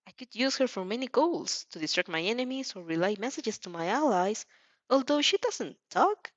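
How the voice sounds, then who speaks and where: A woman's voice: on the high side, sing-song, moderate pace, no room sound, muffled recording. Woman playing a mumbling, bashful little girl. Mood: pensive. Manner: mumbling, awkward and earnest. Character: bashful, rambling, bright six-year-old girl.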